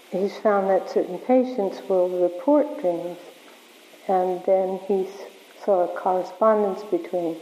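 A woman leads a workshop and answers questions from the floor, her voice low and mellow and starting to go hoarse. She halts and restarts often as she gathers her thoughts. The sound is dull and lo-fi, with a long echo from a large hall. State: losing her voice. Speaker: workshop leader.

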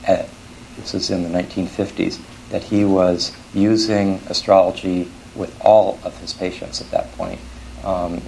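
An older man from Switzerland giving an academic lecture in a scholarly, halting way, often correcting himself mid-phrase. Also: deep voice, vocal fry, darkish tone, slight background noise, low-quality recording.

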